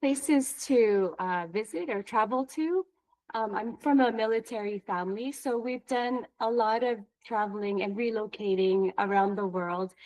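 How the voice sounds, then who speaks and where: A woman's voice: medium pitch, no echo, rough recording. A woman chatting casually as a panel guest, speaking steadily with frequent "uh" and "um" fillers. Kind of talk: casual chat.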